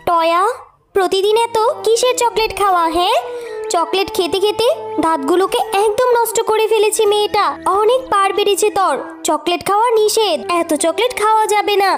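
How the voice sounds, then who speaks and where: A woman's voice: very high-pitched, a little fast. A woman voicing a stern, short-tempered village mother who scolds and nags in a children's cartoon. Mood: scolding. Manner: scolding, nagging. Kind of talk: children's cartoon story dialogue.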